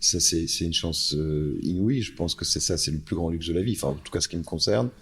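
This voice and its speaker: A man's voice: low-pitched, brisk, husky. A man, a brand founder, speaking as a guest on a panel and often repeating words while he searches for them. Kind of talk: panel conversation. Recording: live event with a panel.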